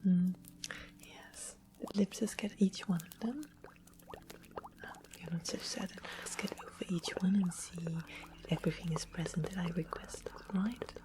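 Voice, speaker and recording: A woman playing a sweet but sly royal witch in a fantasy ASMR roleplay, speaking in a soft, breathy, deliberate whisper. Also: on the low side, natural voice, low-quality recording. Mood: coaxing, businesslike. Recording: steady ambient noise and music behind. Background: soft music and ambience.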